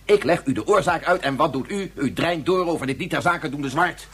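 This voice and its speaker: An actor playing a proud, volatile physicist in a science fiction radio play, speaking formally and with exasperated scorn. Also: male voice, a little high, brisk, fairly bright tone, a little hiss or hum. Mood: furious. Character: proud, frustrated, imperious male scientist, volatile and menacing.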